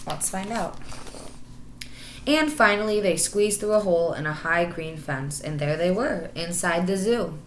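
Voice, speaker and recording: A woman's voice: lowish voice, animated, a little slow, slight background noise. Woman reading a storybook in an echoey room. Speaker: teacher reading aloud. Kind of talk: expressive children's storybook narration.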